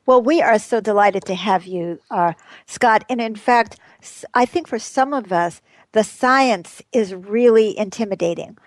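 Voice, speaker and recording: Woman radio host, bubbly and emphatic, mid-pitched, close dry studio sound. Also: measured pace, no room sound. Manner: bubbly, laughs often, emphatic. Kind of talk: hosting a radio panel discussion.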